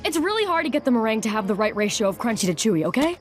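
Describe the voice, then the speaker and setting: A woman voicing an indignant, high-strung teenage girl in an animated series. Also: racing. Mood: alarmed, indignant. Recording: music behind.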